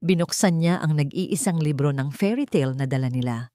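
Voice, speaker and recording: A woman with a Filipino accent reading a dramatic scripted story in measured, emotive short phrases. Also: sits low, mellow, dry recording. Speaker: story narrator.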